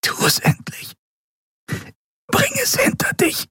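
A man's voice: on the high side, lively intonation, moderate pace, no echo, studio quality, pitched above the performer's own voice, close to an everyday voice. A man voicing a frightened yet defiant young woman in an audiobook, gasping and strained. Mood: resigned whisper. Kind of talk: dramatic audiobook character voice.

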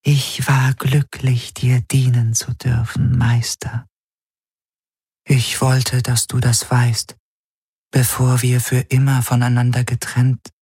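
A man voicing a sorrowful, fading female spirit who speaks softly and half in whispers to her master. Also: mid-range voice, monotone, takes it slowly, breathy edge, no room sound, clean studio sound, voice pushed up, heavily acted. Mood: tender. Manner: soft, pleading, partly whispered.

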